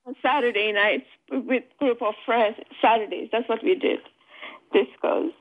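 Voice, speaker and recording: A woman's voice: fairly high pitch, unhurried. A middle-aged woman chatting casually as a phone-in caller, over a thin telephone line. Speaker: phone-in caller, host's mother. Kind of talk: casual chat.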